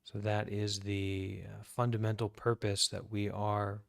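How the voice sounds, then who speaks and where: An American man, a Buddhist monk, leading a guided meditation in a calm, deliberate way with many pauses. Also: on the low side, vocal fry, no echo, studio quality. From United States.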